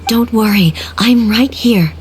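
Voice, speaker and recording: Calm, stately, highish-voiced ruler. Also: female voice, slight background noise. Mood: reassuring. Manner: measured, formal, composed. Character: calm, stately female ruler, composed and authoritative. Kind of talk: dramatic video game voice acting.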